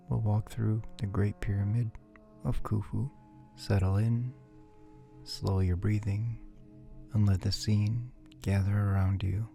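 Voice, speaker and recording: Low, gravelly man narrating sleep meditation. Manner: soft, breathy, soothing, measured delivery. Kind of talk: guided sleep meditation, scripted storytelling. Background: faint background music.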